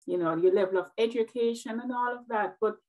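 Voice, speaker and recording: A woman's voice: a little high, a little fast, warm tone, rough recording. Earnest Jamaican woman, reflective. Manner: earnest and reflective, repeats words as she thinks. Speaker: guest, nurse. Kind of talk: interview conversation.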